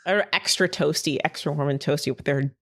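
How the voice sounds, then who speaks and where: A woman's voice: low-pitched, a little fast, background noise. A woman, a player, chatting casually and expressively. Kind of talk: casual chat.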